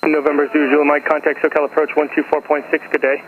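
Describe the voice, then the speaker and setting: Fast, flat American controller on tinny radio. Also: male voice, on the high side. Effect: aviation radio. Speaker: air traffic controller. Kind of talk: clipped radio instructions. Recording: tinny, noisy aviation radio link.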